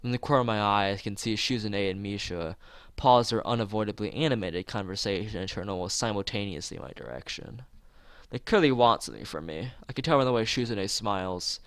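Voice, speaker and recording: A man's voice: fairly low pitch, fairly quick, clear, resonant tone, no echo. A man streaming a let's play, reading a visual novel aloud with casual asides, often tripping over words and rereading them. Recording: music playing behind the voice.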